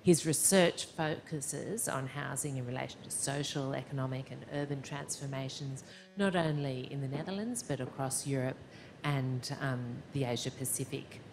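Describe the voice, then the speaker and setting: Very low-voiced woman introducing a speaker. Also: gravelly, darkish tone, a little hiss or hum. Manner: measured, with frequent ums. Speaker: event host introducing the speaker. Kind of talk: formal introduction.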